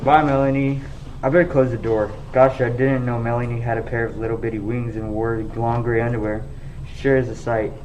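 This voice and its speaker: A woman playing a dim-witted, placid car wash worker in a radio comedy play, echoing others slowly. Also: rumbling low, level tone, darkish tone. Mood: mildly surprised. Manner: slow-witted, echoing the last word heard. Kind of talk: superhero parody radio play acting. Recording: distant mic, faint noise.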